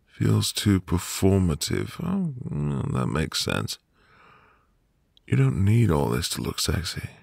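Guy playing a sweet soothing husband, asmr roleplay, slow breathy voice, really close and dry. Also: very animated, darkish tone, no room sound, exaggerated voice. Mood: gentle, admiring. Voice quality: breathy, gravelly low voice. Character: sweet, tender, reassuring newlywed husband. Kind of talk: ASMR boyfriend roleplay, intimate soft delivery.

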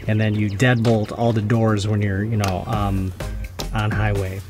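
Man, fairly low voice, laid-back colloquial delivery, casual gear review narration, background music. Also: little pitch movement, measured pace. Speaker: vlogger reviewing camping gear. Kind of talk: casual first-person voice-over.

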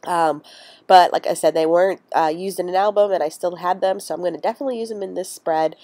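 A woman's voice: fairly low pitch, fast, warm tone, no echo. A woman with a cold and a stuffy nose, chatting casually over her craft video with many ums and restarts. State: congested from a cold. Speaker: crafting vlogger.

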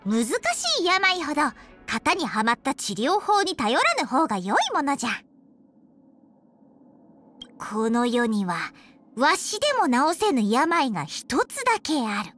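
A woman's voice: sits high, sing-song, unhurried, a bit bright, soft background music, full character voice. A woman voicing a small, proud, playful dragon girl healer for a video game, talking in an archaic, self-important old-timer's way with a child's voice. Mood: earnest, wistful. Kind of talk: acted video game character voice lines.

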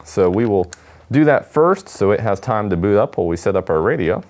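A man's voice: on the low side, lively intonation, brisk, darkish tone. A man, giving a casual unboxing demonstration as a product presenter. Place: indoor studio at a table.

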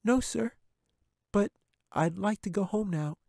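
A timid little girl, acted by a man reading aloud speaks far above his own pitch. She is polite and eager to please. The recording is close and dry. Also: a little slow, vocal fry, darkish tone, no echo, heavily acted.

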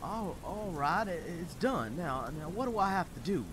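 An actor playing a frantic, pleading husband in an audio drama, agitated and prone to stammering. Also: male voice, high-pitched, sing-song, moderate pace. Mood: nervous. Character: frantic, pleading husband devoted to his wife. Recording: rainy storm noise behind.

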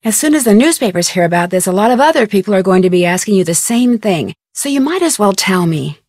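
A woman playing an inquisitive, polite and persistent young American amateur detective, speaking scripted adventure game dialogue in an earnest, expressive way. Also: medium pitch, quick pace, no room sound, clean studio sound, close to an everyday voice. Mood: earnest, probing. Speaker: video game voice actress.

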